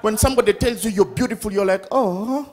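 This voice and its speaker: A middle-aged man with a Ugandan accent doing a loud, playful stand-up set on a big studio stage with a handheld mic. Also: sits high, a little fast. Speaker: stand-up comedian. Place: large studio stage with live audience. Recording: live stage sound with crowd and music. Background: audience laughter and music.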